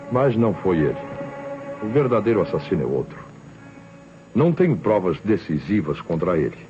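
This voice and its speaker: Deep-voiced, theatrical Brazilian police inspector. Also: male voice, darkish tone. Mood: confident. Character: shrewd, self-assured male police inspector, theatrical and insistent. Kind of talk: 1960s Brazilian radio mystery acting. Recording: muffled old radio recording, music behind.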